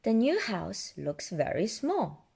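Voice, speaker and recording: A woman storyteller reading children's picture books aloud in an expressive, deliberate way, adding her own small asides. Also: mid-range voice, creaky, slightly rough. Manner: expressive, deliberate delivery for toddlers. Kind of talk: children's read-aloud narration with ad-libbed asides.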